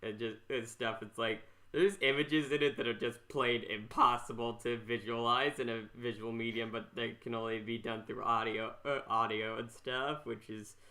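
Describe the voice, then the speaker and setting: A man hosting a podcast in casual chat, talking haltingly and rambling as he repeats himself. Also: medium pitch, steady tone, bright, forceful tone. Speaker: podcast host.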